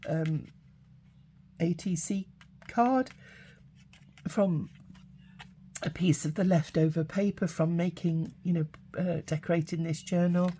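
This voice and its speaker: A woman with a cold and a hoarse voice, chatting casually through a craft project with frequent ums and uhs. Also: fairly low pitch, dark tone, slight background noise. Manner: chatty, halting, many fillers. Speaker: craft channel host.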